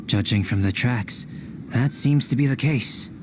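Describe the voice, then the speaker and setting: A man voicing a calm, scholarly, slightly aloof young alchemist in an anime fantasy game, with formal, deliberate phrasing. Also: mid-range voice, background noise, lightly acted. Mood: cautious, composed. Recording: game audio with clear background ambience.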